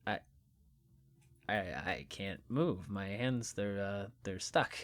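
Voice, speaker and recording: Actor playing casual young skeptic, very lively pitch. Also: slow. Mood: alarmed. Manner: hesitant, casual, questioning. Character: casual, curious, skeptical jobless young friend. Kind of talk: naturalistic audio fiction dialogue.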